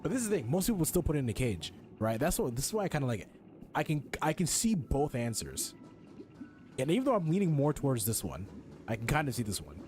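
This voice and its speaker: A man's voice: medium pitch, big pitch swings, fast, darkish tone, soft background music. A young man giving casual gameplay commentary in a chatty, slangy, sweary way. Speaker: game streamer.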